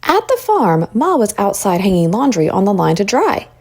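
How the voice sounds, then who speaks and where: A woman reading a children's story aloud as an expressive narrator. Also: medium pitch, normal speed, husky. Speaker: storybook reader.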